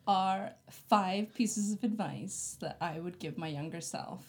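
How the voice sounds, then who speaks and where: A woman's voice: lowish voice, takes it slowly, darkish tone. Young woman, podcast guest, easygoing, plays along, laughs often. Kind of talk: casual podcast chat.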